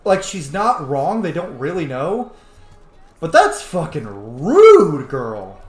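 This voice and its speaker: Young roleplaying streamer, mid-pitched and lively, informal think-aloud commentary with cursing. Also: slow, roomy sound, faint music behind. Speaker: video game streamer, roleplayer. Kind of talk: casual playthrough commentary.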